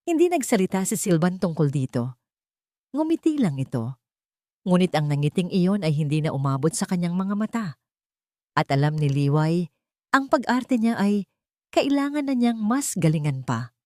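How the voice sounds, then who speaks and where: Female audio-story narrator, lower register, dramatic read, very dry sound. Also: sing-song, normal speed, pauses often, mellow, no echo.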